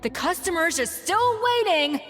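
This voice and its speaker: A woman voicing a strict, brisk female head chef in a game, stern, curt and sharp-tongued. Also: extremely high pitch, very animated, in a large hall, faint music behind, full character voice. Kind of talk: video game voice acting.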